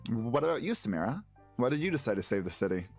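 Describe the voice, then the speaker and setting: Lively, sarcastic young superhero guy played by a man. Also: medium pitch, fast talker, faint music behind. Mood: sarcastic, explanatory. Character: sarcastic, defensive young male insect superhero. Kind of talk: animated comic dialogue.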